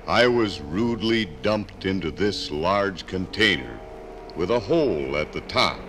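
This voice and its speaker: A man playing a bewildered lump of iron ore in a 1950s radio drama, wondering aloud in a halting, dramatic way. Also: low-pitched, exaggerated voice. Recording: 1950s radio LP, music behind.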